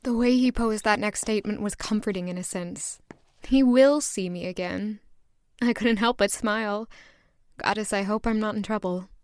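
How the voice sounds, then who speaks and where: A woman's voice: medium pitch, normal speed, smooth, no room sound, close to an everyday voice. A woman playing a flustered, demure young maid given to romantic daydreams in an audio drama. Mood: smitten, reflective. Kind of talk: audio drama acting with first-person narration.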